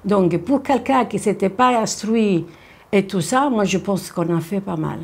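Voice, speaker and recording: A woman's voice: medium pitch, a little slow, slightly rough. A woman speaking modestly and candidly in a documentary interview.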